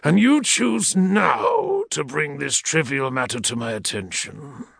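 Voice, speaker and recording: A man's voice: on the high side, sing-song, slow pace, gravelly, a bit bright, husky, no room sound, clean studio sound, voice pushed up, full character voice. A man voicing a crippled, menacing male equerry who growls threats from behind a respirator. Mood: cold menace.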